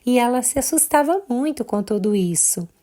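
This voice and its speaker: A woman with a Brazilian accent narrating a children's bedtime story, expressive and unhurried, talking directly to her young listeners. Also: a little high. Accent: Brazilian Portuguese.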